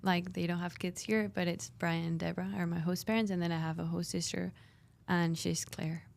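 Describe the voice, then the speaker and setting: Teen girl, low gravelly voice, casual chatty guest. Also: flat delivery, brisk. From Spain. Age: teens. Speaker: exchange student guest.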